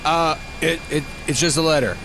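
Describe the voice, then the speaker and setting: A man's voice: fairly high pitch, moderate pace, noisy background, lightly acted. A man playing an awkward, nervous guy who stammers and apologizes in a comedic audio drama. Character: awkward, nervous, hesitant man named Derek.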